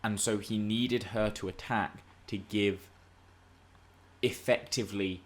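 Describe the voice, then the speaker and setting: A man's voice: fairly low pitch, fairly even intonation, takes it slowly. A man co-hosting a podcast, discussing film and TV casually in an emphatic, analytical, argumentative way. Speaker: podcast co-host.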